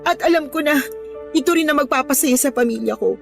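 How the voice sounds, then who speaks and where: A woman's voice: sits high, brisk, creaky, faint music behind. A woman acting an ambitious, scornful young girlfriend in a Filipino radio drama, with an emotional, cutting delivery. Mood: tearful, firm. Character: ambitious, scornful young girlfriend leaving her poor boyfriend.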